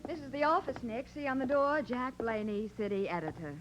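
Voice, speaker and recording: A woman's voice: fairly high pitch, brisk, natural voice. An actress playing a detective's loyal, alert female assistant in 1940s radio drama style. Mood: anxious, alert. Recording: noisy old radio recording.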